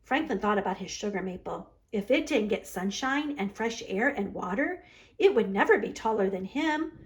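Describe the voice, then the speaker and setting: A woman's voice: medium pitch, lively intonation, moderate pace. A woman library storyteller narrating a children's storybook aloud.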